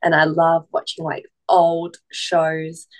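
A young woman whose first language is Cantonese, chatting gently as a podcast guest and pausing often with "um". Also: deep voice, monotone. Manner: gentle, unhurried, many "um" pauses. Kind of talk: casual podcast conversation.

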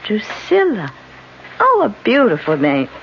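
An actress playing a gentle, mysterious, enticing woman who coaxes without hurry in a 1970s radio drama. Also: medium pitch, huge pitch swings, exaggerated voice. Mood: serene, coaxing. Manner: smooth, unhurried, coaxing. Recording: muffled, hissy vintage radio recording.

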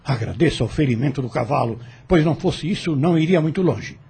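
An actor playing a stern, authoritative landowner in a radio drama, curt and commanding. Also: male voice, medium pitch, animated, brisk, vocal fry, a little mellow, breathy edge, full character voice.

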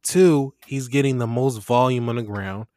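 A man's voice: mid-range voice, moderate pace, mellow, no echo. Laid-back slangy man, dry close sound. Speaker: podcast host. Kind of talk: casual fantasy football chat.

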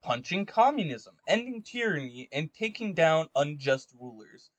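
A man's voice: fairly high pitch, sing-song, moderate pace, no echo, lo-fi sound. A man hosting a casual podcast, talking in a joking, irreverent, slangy way. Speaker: podcast host. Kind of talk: casual podcast chat and commentary.

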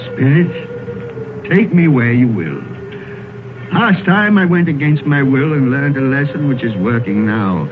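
An actor playing an anxious, humbled old miser in a theatrical 1930s radio drama style. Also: male voice, mid-range voice, animated, unhurried, phone-quality sound, lightly acted. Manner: theatrical, pleading. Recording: scratchy thin 1930s radio recording, music behind.

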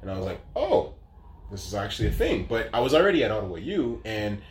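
Deep-voiced man in his thirties. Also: fast talker, roomy sound. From Canada. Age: 30s. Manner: relaxed, rambling, lots of fillers. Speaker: guest. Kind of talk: casual interview storytelling.

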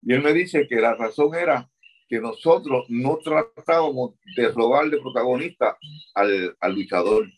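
A man's voice: medium pitch, a little slow, slightly rough, low-quality recording. An older man, a former wrestling manager answering interview questions and repeating words as he recalls.

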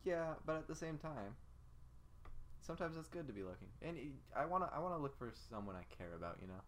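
A man's voice: fairly high pitch, very animated, quick pace, slight background noise. A young man chatting casually as a podcast co-host, with a playful, teasing way of talking. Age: young adult. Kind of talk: casual banter.

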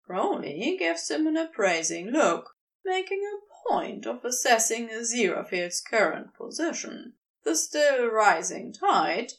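A woman reading scripted fiction as a narrator, with expressive, deliberate storytelling. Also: high voice, far from the mic. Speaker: podfic narrator.

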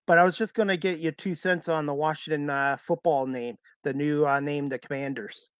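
A man hosting a casual podcast, talking in a halting way with many fillers and restarts. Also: fairly high pitch, fairly even intonation, fairly bright tone, smooth, no room sound, studio quality. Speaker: podcast host.

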